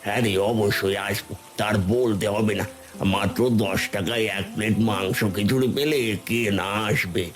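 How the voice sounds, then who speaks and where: A man plays an older village uncle in a cartoon, curious and appreciative. His voice is on the low side, that of a food-loving customer. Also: normal speed, natural voice. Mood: pleased. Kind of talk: cartoon voice acting.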